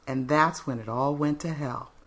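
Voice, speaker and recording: A woman narrates a fiction story in a scripted, dramatic style. Her voice is notably low for a woman. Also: normal speed, fairly bright tone.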